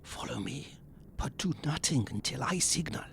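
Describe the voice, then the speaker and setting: Man as a gravelly German vampire-hunting professor. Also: mid-range voice, animated, a bit bright, slight background noise. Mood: grave, calm. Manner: formal, deliberate, commanding. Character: authoritative, grave foreign professor and vampire hunter. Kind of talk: theatrical gothic horror audio drama.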